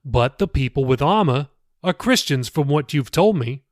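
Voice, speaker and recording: A man's voice: medium pitch, expressive, moderate pace, no echo, clean studio sound, lightly acted. A man reading an audiobook, voicing an earnest, persuasive pastor who talks casually and presses with questions. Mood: probing. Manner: colloquial, insistent, questioning. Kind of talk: audiobook character dialogue.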